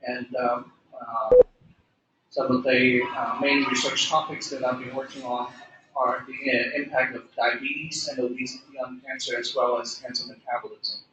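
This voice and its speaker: Middle-aged man, halting filler-heavy lecture, echoey room, faint background noise. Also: medium pitch, level tone. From Hong Kong. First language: Cantonese. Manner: hesitant, many fillers and restarts. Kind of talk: classroom lecture.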